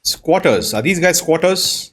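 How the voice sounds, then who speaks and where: A man giving casual let's-play commentary in a husky voice, musing aloud and reading names off the screen. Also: on the high side, lively intonation, measured pace, fairly bright tone. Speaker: video game player and host. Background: plucked-string game music.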